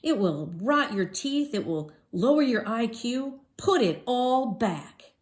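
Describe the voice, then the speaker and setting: A woman's voice: fairly high pitch, expressive, slow, pitched above the performer's own voice. A woman voicing an exasperated, protective storybook father in an emphatic, scolding way.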